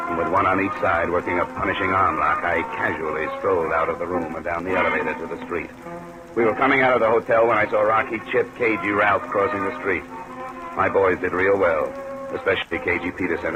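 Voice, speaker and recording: Actor as a deep-voiced, hard-boiled radio detective. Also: male voice, fairly quick, over loud music, natural voice. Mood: dry narration. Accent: American. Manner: sardonic, hard-boiled, clipped. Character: tough, wisecracking male freelance insurance investigator, dogged and scornful. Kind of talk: 1950s radio detective acting and first-person narration. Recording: noisy old radio recording with hiss.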